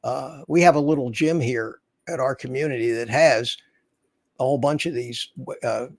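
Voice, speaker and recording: A man's voice: mid-range voice, moderate pace, a little mellow, no echo, clean studio sound. A man in his seventies hosting a casual livestream, chatting in a rambling, folksy way and answering viewers. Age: 70s. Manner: rambling, folksy, reads viewer comments aloud. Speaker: livestream host. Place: his home in Florida.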